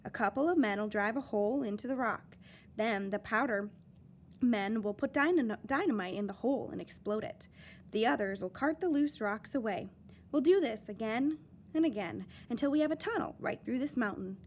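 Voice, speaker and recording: Woman reader doing a bossy storybook foreman barking orders at his crew; loud, a touch high. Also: lively intonation, moderate pace, a little hiss or hum. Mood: commanding. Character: bossy male railroad foreman giving orders.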